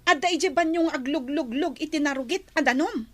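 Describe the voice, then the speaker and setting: A woman's voice: high-pitched, a little fast, a bit bright. An actress playing a mean, accusing young woman in an Ilocano radio drama, with an Ilocano accent. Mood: scornful. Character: mean, blaming young woman, household bully.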